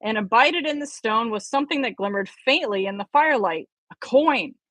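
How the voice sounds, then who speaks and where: A woman's voice: a little high, animated, normal speed, fairly bright tone, no room sound. A woman reading a story aloud expressively as an amateur audiobook reader.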